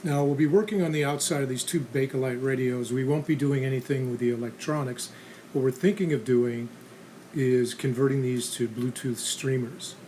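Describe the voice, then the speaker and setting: A man with a medium-pitched voice narrates a restoration how-to in a casual style. His delivery is plain, practical and instructional. Clear background noise and ambience sit behind his words. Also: fairly even intonation, moderate pace, a little mellow. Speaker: host of a restoration how-to channel.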